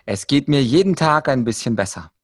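Guy from ukraine as podcast guest, explains stuff with examples, medium pitch, really dry sound. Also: animated, fairly quick, no echo. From Ukraine, moved to Germany at ten. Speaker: podcast guest, rhetoric trainer. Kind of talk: explanatory conversational talk with examples.

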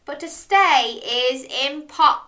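A woman voicing a wistful male dog in a children's story, earnest and expressive. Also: high voice, slow, pitched above the performer's own voice, heavily acted. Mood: wistful sighing. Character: wistful male dog who longs for the seaside.

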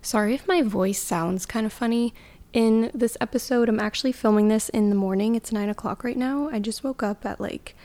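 A woman's voice: on the high side, normal speed, mellow. A young woman, hosting a casual solo podcast in a sleepy morning voice, chatty with lots of filler words. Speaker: podcast host.

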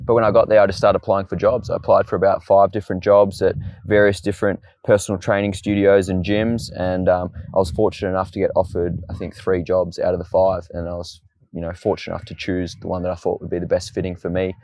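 A man in his late twenties from Tasmania with an Australian accent, relaxed and storytelling as an interview guest. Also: deep voice, fast talker, no echo. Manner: relaxed, storytelling, says "mate". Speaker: guest, fitness coach. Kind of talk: casual interview chat.

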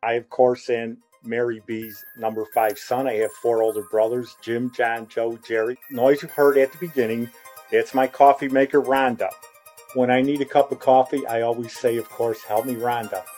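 A man's voice: mid-range voice, level tone, moderate pace, a little mellow, soft background music. A man in his sixties hosting a podcast, chatting casually and reminiscing in a rambling, nostalgic way. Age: 60s. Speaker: podcast host.

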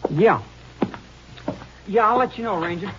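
A man's voice: sits high, measured pace. An actor playing a nervous, defensive young man under suspicion in an old radio drama, speaking in a jumpy, defensive way. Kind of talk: 1950s radio drama acting. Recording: muffled old radio broadcast with hiss.

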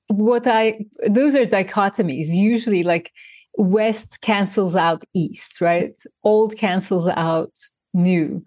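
A woman's voice: mid-range voice, darkish tone, no room sound. A woman from Turkey speaks in a reflective interview, working through her ideas with everyday examples and some restarts. Her voice sits in a middle register. The recording is close and dry, with no room sound. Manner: thoughtful, explains with examples, frequent restarts. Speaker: designer and author, interview guest.

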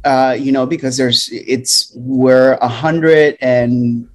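A middle-aged man from Puerto Rico speaks slowly as a guest in a relaxed interview. His talk is loose and unhurried, with frequent ums and restarts between thoughts. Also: mid-range voice.

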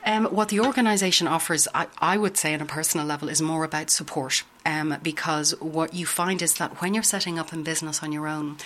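A middle-aged Irish woman with an Irish accent, answering interview questions in a thoughtful, measured way with frequent pauses. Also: sits low, fairly even intonation, fairly bright tone. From Ireland. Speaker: guest, business consultant. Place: office building in a city.

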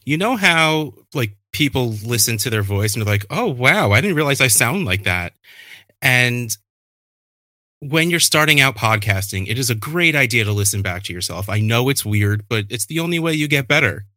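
A man in his thirties, a fantasy football podcaster, chatting casually and telling animated stories with a self-deprecating streak. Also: mid-range voice, moderate pace, no echo, clean studio sound.

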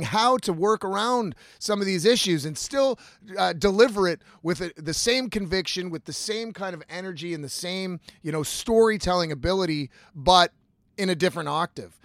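A man's voice: sits high, dry recording, studio quality. A man in his thirties hosting a casual, confessional solo podcast, rambling candidly and swearing freely. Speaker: podcast host, singer-songwriter.

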